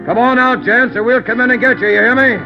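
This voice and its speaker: A man playing a hard American police chief in a 1940s radio crime drama, giving clipped orders with gruff authority. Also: very high voice, steady tone, quick pace. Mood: shouted demand. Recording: dull 1940s radio recording, music behind.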